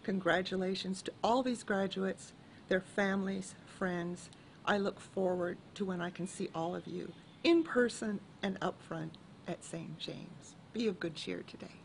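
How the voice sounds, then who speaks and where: A woman's voice: medium pitch, unhurried, gravelly, slight background noise. An older woman, a grandmother, reading an encouraging message to her church community by video. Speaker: parishioner giving a message. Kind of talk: read message to congregation.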